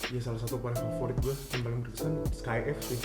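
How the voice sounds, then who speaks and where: A man's voice: medium pitch, flat delivery, fast talker. A young man with a Jakarta accent, an interviewed band member, tells things in casual, slangy, rambling speech. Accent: Jakarta Indonesian. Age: young adult. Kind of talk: casual chat. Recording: roomy echo, music behind.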